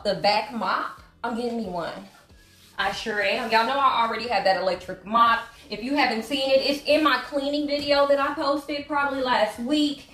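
A woman's voice: a little high, fairly bright tone, slight background noise. Chatty woman vlogging in an echoey room. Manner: chatty, gossipy, addresses viewers as y'all. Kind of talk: casual chat while cleaning. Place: home living room with hardwood floor. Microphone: camera set up across the room.

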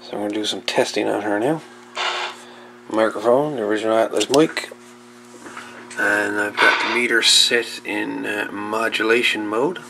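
A man giving casual, unscripted narration at a workbench in a halting, muttering way as he works. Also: lowish voice, steady tone, gravelly, sharp tone. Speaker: hobbyist host of radio repair videos. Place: indoor workbench. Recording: noisy home-camera audio with clicks.

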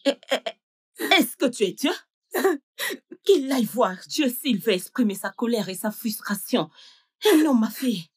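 A woman's voice: on the high side, expressive, measured pace, a little mellow, slightly rough. An actress dubbing a fierce, protective middle-aged mother who scolds in indignant outbursts. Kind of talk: dubbed film acting.

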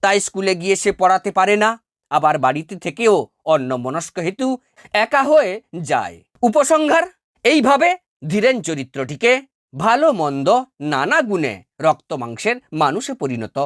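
A woman's voice: mid-range voice, big pitch swings, a little slow, no echo, studio quality. A woman teacher reading a scripted lesson for school students in a formal, emphatic, carefully phrased way. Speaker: teacher presenting exam answers to twelfth-grade students. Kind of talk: scripted educational narration, read-out essay.

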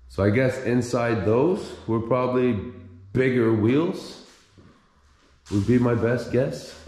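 Man, deep and dark-toned, thinks aloud on a house walkthrough; roomy echo. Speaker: urban explorer vlogger. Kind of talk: casual walkthrough narration. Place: empty abandoned house indoors. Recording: echoey empty rooms, faint hiss.

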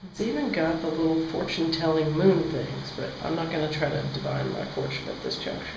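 A man's voice: a little high, lively intonation, a little fast. A young man narrating his own walk on a travel vlog, chatty and musing, with wry asides. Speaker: travel vlogger. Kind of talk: casual first-person travel narration. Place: outdoors on an overgrown trail and railway line. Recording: outdoor camera audio with clear ambient noise.

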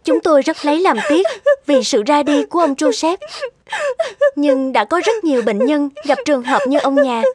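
A woman's voice: high voice, lively intonation, normal speed, darkish tone. A woman voicing a sorrowful, formal nurse in a cartoon, apologetic and consoling. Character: sorrowful, apologetic adult female hospital nurse. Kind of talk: animated cartoon voice acting.

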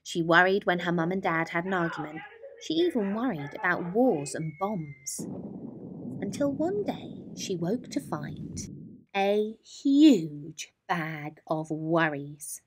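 A woman's voice: on the low side, animated, slow, background noise. A woman storyteller narrating a children's bedtime story.

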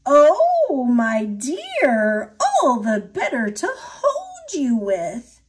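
A woman voicing a storybook dragon that pretends to be a sick grandmother, hoarse, breathy and crooning. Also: high-pitched, wildly swooping pitch, extremely slow, full character voice. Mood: feigning weakness. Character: sly dragon posing as frail sick grandmother.

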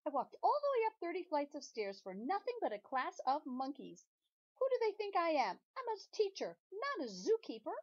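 A woman's voice: medium pitch, wildly swooping pitch, normal speed, hardly any pauses, mellow, husky, lo-fi sound. A woman voicing a prim female schoolteacher who is exasperated and indignant. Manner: huffy and exasperated.

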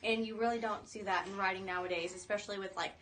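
A woman's voice: medium pitch, fairly even intonation, fast talker, fairly bright tone, a little hiss or hum. A woman in her twenties being interviewed as an actress, chatting casually in a small room with a distant microphone. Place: small indoor room, seated in armchairs.

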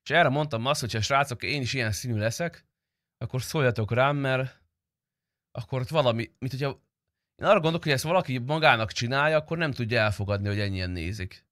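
A man's voice: mid-range voice, a bit bright, no echo, clean studio sound. A young man chatting casually on a game livestream in informal, slangy, sometimes profane speech. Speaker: game streamer.

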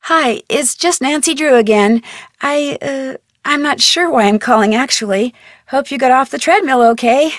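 A woman's voice: high-pitched, normal speed, no echo, studio quality, lightly acted. A woman voicing a curious, polite young amateur detective in a video game, asking earnest questions. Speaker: player character.

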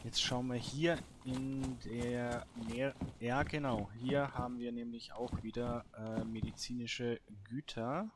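A man hosts a gameplay series in a casual way. He speaks slowly at a medium pitch, thinking aloud in short, broken phrases. The recording has noticeable noise and clicking. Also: steady tone. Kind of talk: casual let's play commentary.

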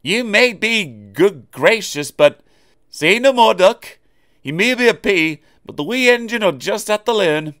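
A man's voice: sits high, animated, a little slow, a bit bright, no room sound, clean studio sound, voice pushed up. A man doing a stern engine.

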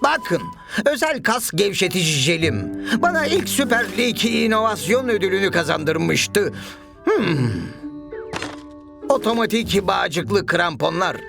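A man voicing an eccentric, fussy, excitable old scientist in a cartoon, muttering theatrically to himself with drawn-out words. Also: high-pitched, gravelly, heavily acted. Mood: resigned, wistful. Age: older. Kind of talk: animated cartoon dub acting. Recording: music behind the voice.

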